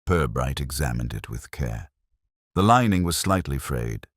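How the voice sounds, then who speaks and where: A man's voice: very deep voice, expressive, unhurried, dry recording, clean studio sound. A man narrating a scripted mystery story as an audiobook-style storyteller.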